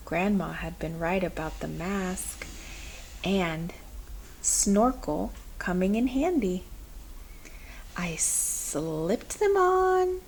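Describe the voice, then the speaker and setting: A woman reading a children's picture book aloud in a measured, expressive storytelling manner. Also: mid-range voice, slight background noise.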